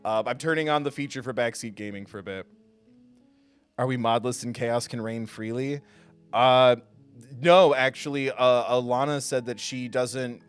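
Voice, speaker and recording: A man hosting a video game livestream, chatting casually and haltingly with viewers in a self-deprecating, joking way. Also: mid-range voice, clean tone. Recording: music behind the voice. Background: music.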